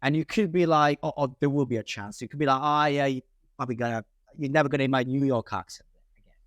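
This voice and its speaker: A man with an Italian accent talks through cold-calling tactics in a casual interview. He is animated and quick, often restarting his sentences as he goes. His voice is mid-pitched and sits dry and close to the mic. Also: no echo. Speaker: sales guest.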